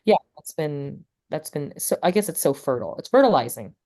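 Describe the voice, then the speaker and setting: Low-voiced woman, rambling with fillers. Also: animated, a little fast, mellow. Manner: rambling, many fillers like "like" and "you know". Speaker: poet and teacher, interview guest. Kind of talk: casual interview talk.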